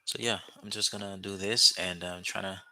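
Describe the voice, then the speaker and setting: A man from Haiti whose first language is Haitian Creole talks to his live-stream viewers in a loose, rambling way, full of ums and restarts. His voice sits low but sounds sharp and bright. The sound is close and dry, with no room echo. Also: fairly even intonation, normal speed. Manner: rambling, many fillers and restarts, chatty with viewers. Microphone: webcam or phone mic, speaking to camera.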